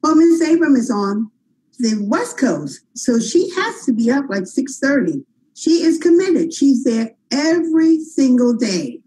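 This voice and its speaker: A woman's voice: sits high, big pitch swings, measured pace, mellow, rough recording. A woman co-hosting an interview show, enthusiastic and full of praise, laughing easily as she talks. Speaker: co-host. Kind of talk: casual interview chat.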